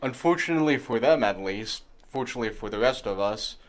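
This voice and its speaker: Measured man on a panel. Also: medium pitch, animated. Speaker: guest. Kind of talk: casual political discussion.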